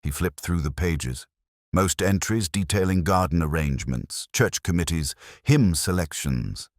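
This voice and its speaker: A man narrating a scripted mystery story in a measured, literary manner. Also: low voice, expressive, no echo, clean studio sound.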